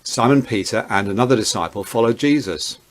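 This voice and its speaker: A man's voice: mid-range voice, fairly quick. A man narrating a dramatized Bible reading as a scripted storyteller.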